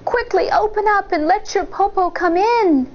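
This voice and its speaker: Woman acting out cunning old wolf, fake grandmother, raised pitch, sugary coaxing, storybook read-aloud, muffled recording. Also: moderate pace. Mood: coaxing, sly.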